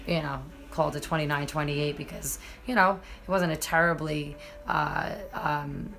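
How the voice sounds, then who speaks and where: Low-voiced, slightly hoarse woman live-streaming. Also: fairly even intonation, normal speed, fairly bright tone, a little hiss or hum. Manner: chatty, many fillers, addresses viewers directly. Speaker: MMA journalist and live-stream host. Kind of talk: casual live-stream commentary.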